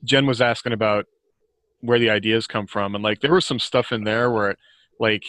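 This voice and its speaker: A man's voice: fairly low pitch, fairly even intonation, normal speed, fairly bright tone, no room sound. An American man chatting casually and telling anecdotes as a podcast guest, informal and often chuckling as he talks.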